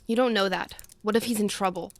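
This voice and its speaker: A woman's voice: mid-range voice, steady tone, a little fast, close to an everyday voice. A woman playing a blunt, impatient, headstrong sixteen-year-old in an audio drama, snappy in manner. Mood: anxious, urgent. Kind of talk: casual audio drama dialogue.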